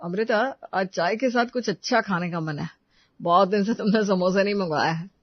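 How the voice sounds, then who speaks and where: A woman's voice: medium pitch, normal speed, no echo, lo-fi sound, close to an everyday voice. A woman playing a self-assured, stingy husband who lectures and complains in a domestic moral story. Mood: grumbling. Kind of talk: Hindi moral-story character dialogue.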